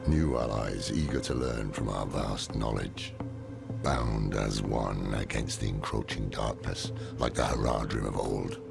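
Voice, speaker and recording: An actor playing a world-weary veteran scholar-warrior in a dark fantasy game, measured and wry, with a gravelly voice. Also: male voice, exaggerated voice. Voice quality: deep, gravelly. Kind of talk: dramatic video game dialogue and narration. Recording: dramatic game music underneath.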